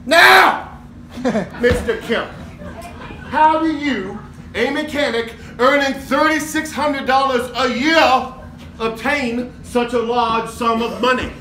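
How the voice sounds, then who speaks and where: Very high-voiced, bombastic prosecutor. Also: male voice, bright, forceful tone, full character voice. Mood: accusing, bombastic. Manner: theatrical, sneering, drawn-out oratory. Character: sly, bombastic, accusing male courtroom prosecutor. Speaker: actor in a stage play. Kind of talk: stage adaptation of a 1950s radio drama. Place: live theatre stage. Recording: echoey theatre with background noise.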